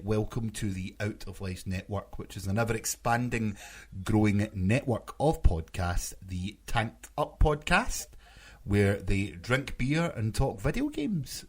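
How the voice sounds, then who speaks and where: Guy hosting a podcast, fairly low voice, casual banter. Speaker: podcast host.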